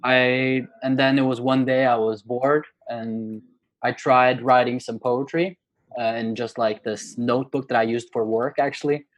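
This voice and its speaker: A man's voice: medium pitch, steady tone, measured pace, low-quality recording. A young man from Norway in his twenties, answering interview questions in a casual, hesitant way with many fillers and restarts. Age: 20s. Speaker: guest poet.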